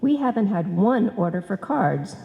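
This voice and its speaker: An actress playing a doubtful, fretful cousin with a hesitant way of talking, in old-time radio comedy. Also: female voice, mid-range voice, sing-song, normal speed, gravelly, narrow, tinny audio. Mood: worried, hesitant. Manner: hesitant, worried. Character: doubtful, fretful female cousin and business partner. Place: on stage in a live performance. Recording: live hall recording, long reverb.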